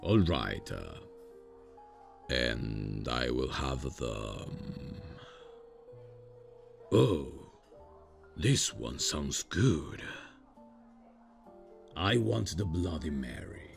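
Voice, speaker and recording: A man playing a stiff, awkwardly polite demon from hell in an ASMR comedy roleplay, speaking formally and haltingly in a deadpan way. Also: soft background music, heavily acted. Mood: stiff, pleased. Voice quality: very deep, gravelly. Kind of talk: ASMR roleplay, scripted comic monologue.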